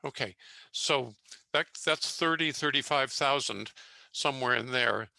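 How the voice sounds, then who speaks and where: An older man on a pitch panel, giving a publisher's feedback in a hesitant, halting way full of fillers and restarts. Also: vocal fry, bright, forceful tone. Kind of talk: giving feedback on a video call.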